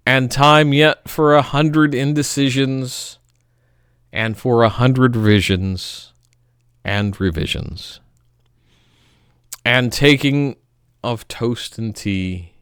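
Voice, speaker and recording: A man giving a melodramatic poetry reading, with dramatic pauses and emphatic swells that fall away to hushed line endings. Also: medium pitch, clean tone, no echo, studio quality.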